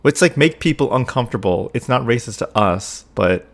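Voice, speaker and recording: A man's voice: mid-range voice, expressive, moderate pace, creaky. A man hosting a podcast and chatting casually, talking loosely with lots of "like" and "um" fillers. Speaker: podcast host. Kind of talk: casual chat.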